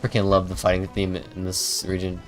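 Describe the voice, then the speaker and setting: Low-voiced, playful game streamer. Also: completely flat delivery, a little fast, soft background music. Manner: playful, self-muttering, sing-song sound effects. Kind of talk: casual gameplay commentary.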